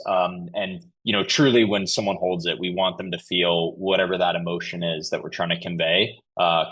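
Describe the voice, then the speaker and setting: A man's voice: sits low, bright, forceful tone, low-quality recording. A man answering interview questions in a conversational, explanatory way, often saying "you know". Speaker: podcast guest, company chief executive.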